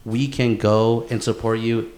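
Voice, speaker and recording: A man's voice: mid-range voice, flat delivery, measured pace, a little mellow. A man co-hosting a casual podcast, talking in an emphatic, slangy way full of bro and dude and repeated phrases. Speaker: podcast co-host.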